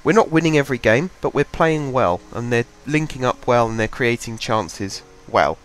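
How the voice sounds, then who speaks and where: A young man speaks close to a desk microphone, talking through his game in an easy, rambling way. He has a cold, so his voice sounds a bit stuffy and congested. His pitch sits in a middle range, and his delivery is loose and full of fillers. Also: animated, normal speed. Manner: chatty, rambling, thinks aloud with fillers. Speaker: gaming channel host. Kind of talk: casual game commentary. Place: home desk.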